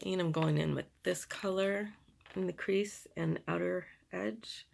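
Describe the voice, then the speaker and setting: A middle-aged woman with a raspy voice, chatting candidly while doing her makeup, in a slow, rambling manner. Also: fairly low pitch, fairly even intonation, a little mellow. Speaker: beauty vlogger.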